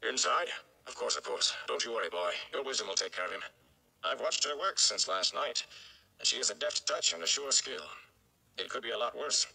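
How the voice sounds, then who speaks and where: A man voicing a kindly, chatty older gleeman from a fantasy storybook, speaking in a reassuring, emphatic, deliberate manner. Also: medium pitch, animated, creaky, pushed and bright, pitched above the performer's own voice, exaggerated voice, low-quality recording. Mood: reassuring, somber.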